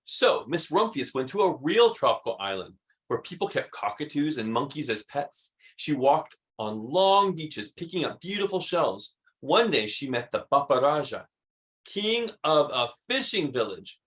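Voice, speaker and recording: A man reads a children's storybook aloud in a fairly high, very lively voice. He leans on key words and pauses for drama, swinging his pitch as he goes. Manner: animated, emphatic, dramatic pauses. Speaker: read-aloud host. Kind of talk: expressive children's storybook reading.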